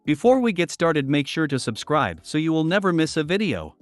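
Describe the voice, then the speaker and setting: Male narrator for reddit story readings, higher register, dry close mic, faint music bed. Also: sing-song, a little fast, no room sound. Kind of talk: scripted narration reading posts.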